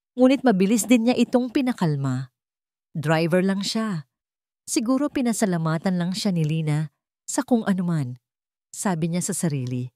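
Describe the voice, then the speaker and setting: Filipino woman narrator, deep soft-toned voice, dry close sound, telling a dramatic story. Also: dry recording.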